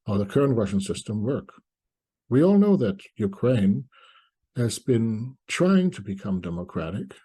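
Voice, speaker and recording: A middle-aged man from East Germany, giving reflective spoken commentary to viewers in deliberate short phrases with pauses. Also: mid-range voice, mellow, husky. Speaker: commentator presenting a video essay.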